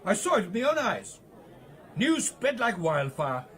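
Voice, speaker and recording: An actor playing a boastful, generous English gentleman golfer in a radio drama, jovial and bossy with old-fashioned clubbish phrasing. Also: high-pitched, wildly swooping pitch, unhurried, some chatter behind, heavily acted. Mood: gossipy, indignant. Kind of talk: period radio drama acting.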